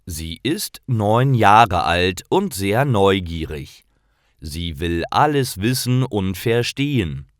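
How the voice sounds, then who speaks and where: Slow, lively low-voiced male storyteller. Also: dry recording, studio quality. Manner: measured, simple phrasing. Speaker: narrator of German learning stories. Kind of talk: scripted slow storytelling for beginners.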